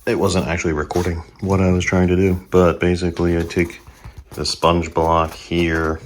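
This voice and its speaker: A man's voice: low voice, moderate pace. A man in his forties casually explaining a furniture refinishing job step by step while he works in a small room at home, recorded on a handheld phone. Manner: relaxed, plain step-by-step explaining. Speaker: DIY vlogger. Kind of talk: casual how-to narration while working. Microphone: handheld phone camera. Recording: sanding and scraping noise behind.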